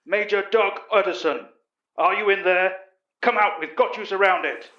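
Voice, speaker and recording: An echoey, slightly dull recording has a storyteller putting on a male British police inspector. He is blunt and gruff with a highish pitch, in a dramatic horror tale. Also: measured pace, sharp tone. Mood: commanding. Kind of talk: storytelling character voice.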